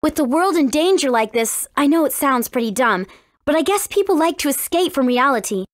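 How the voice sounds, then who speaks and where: A woman voicing a brave, friendly teenage warrior princess in a video game, with an earnest, clearly spoken manner. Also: sits high, expressive, fairly quick, no echo, clean studio sound. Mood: explaining, earnest. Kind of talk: scripted video game character dialogue.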